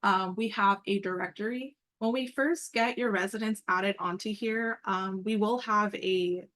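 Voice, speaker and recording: Female meeting presenter, medium pitch, conversational and upbeat, explaining step by step on an informal online call. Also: fairly even intonation, a little slow, fairly bright tone. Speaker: meeting presenter and program coordinator. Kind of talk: informal work call presentation with screen walkthrough.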